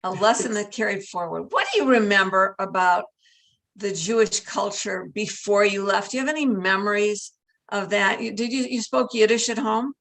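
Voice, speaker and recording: Woman interviewer, keen, prompting her guest, average pitch. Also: moderate pace, a bit bright. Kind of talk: conversational interview.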